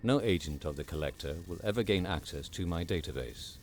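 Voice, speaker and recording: Very deep, defiant machine guardian. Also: male voice, lively intonation, brisk, darkish tone, a little hiss or hum.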